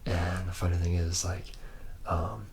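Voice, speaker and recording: A man acts out a boozy, womanizing young robot-factory mechanic in an ASMR roleplay. His voice is very low with a heavy creak, drifting through cocky, teasing bro slang. There is clear background noise and ambience around him. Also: little pitch movement, a little slow, a little mellow. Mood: gossiping, mocking. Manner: rambling, slangy bro talk, boastful and teasing.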